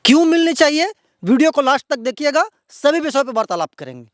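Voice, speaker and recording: Male local news presenter, Bihari accent, very high voice, indignant and emphatic, dry sound. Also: sing-song, fast talker, dry recording. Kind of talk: outspoken news commentary to viewers.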